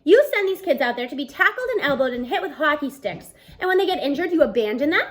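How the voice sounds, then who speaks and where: A storybook schoolteacher, curt and weary but insistent, read by a woman. The voice is high and swings widely in pitch, with formal, clipped phrasing. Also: sing-song, fast talker. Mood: indignant. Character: weary, disengaged male middle-school teacher, turning indignant.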